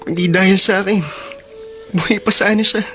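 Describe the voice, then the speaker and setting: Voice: mid-range voice, fairly even intonation, moderate pace, pushed and bright, soft background music, close to an everyday voice. A performer playing a grieving, guilt-ridden child in a radio drama, plaintive and tearful, with a Filipino accent. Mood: tearful, guilty.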